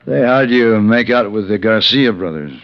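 An actor playing a calm, worldly gunfighter in a 1950s radio western, with a dry, deliberate delivery. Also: male voice, fairly low pitch, no room sound, lightly acted. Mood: groggy, amused. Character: calm, worldly male gunfighter, weakened by a wound. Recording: dull vintage 1950s radio recording.

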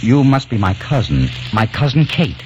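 A man's voice: mid-range voice, big pitch swings, moderate pace, background noise, natural voice. A man playing a flustered young New Yorker lost in the past, who also narrates the radio drama. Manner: flustered, stammering in dialogue. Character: young male time-traveling New Yorker, nervous. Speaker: narrator and lead. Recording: dull old radio broadcast with music.

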